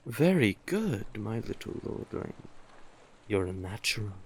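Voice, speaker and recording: A man acting an older male sea witch, very slow delivery, deep voice, doting, coaxing, intimate fantasy audio drama. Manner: soothing, coaxing, doting, deliberate. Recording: clearly noisy, sea-cave ambience effects.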